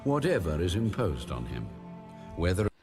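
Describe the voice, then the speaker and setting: Deep-voiced man solemnly reading scripture. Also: very animated, moderate pace, darkish tone, soft background music. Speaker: Bible audiobook narrator. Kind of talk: formal scripture narration.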